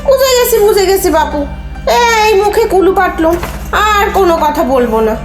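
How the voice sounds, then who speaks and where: A high, swooping female voice of an actress as a cheeky, gossipy housemaid in a comedy play. She teases her employers theatrically and colloquially. The recording is roomy, with faint music. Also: very animated, normal speed. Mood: indignant, teasing. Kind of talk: Bengali audio comedy play acting.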